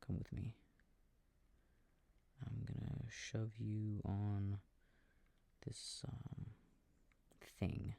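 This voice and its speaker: A man playing an affectionate, easily confused boyfriend in a soft sleep-aid roleplay, muttering casually and hesitantly to himself over a video game, close to the microphone. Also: lowish voice, flat delivery, very slow, gravelly, long pauses, heavily acted. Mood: puzzled, absorbed. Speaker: audio roleplay performer. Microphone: close microphone, dry. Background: controller clicking sounds.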